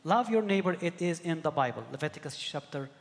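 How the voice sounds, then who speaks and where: A middle-aged man from Egypt delivers a sermon in a slightly high voice. He speaks earnestly, often doubling back and repeating words as he finds his way. His voice rings out with a long reverb, as in a large church hall. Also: expressive, brisk. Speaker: pastor.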